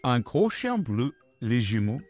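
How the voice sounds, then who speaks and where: A man's voice: mid-range voice, very animated, takes it slowly, a little mellow, soft background music. A man voicing a big, friendly blue puppet dog in a French comic dub, jovial and showy.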